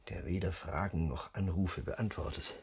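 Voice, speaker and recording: An actor playing a weary, bewildered, whimsical father in a surreal radio drama, halting and stammering. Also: sits low, darkish tone, a little hiss or hum. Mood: wry, musing.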